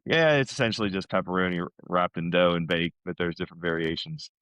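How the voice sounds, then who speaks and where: An American man in middle age talks casually on a podcast. His voice sits on the low side and the sound is dry, with little room in it. He speaks informally, like a buddy catching up. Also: fast, fairly bright tone, clear, resonant tone, no room sound. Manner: informal, buddy-like, peppers talk with "man" and "dude".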